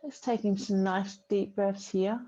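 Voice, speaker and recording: Mellow, soothing woman guiding relaxation. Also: medium pitch, steady tone. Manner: soothing, unhurried instruction with long pauses. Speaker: yoga teacher leading a class. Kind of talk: guided relaxation meditation. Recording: dull muffled audio, faint background noise.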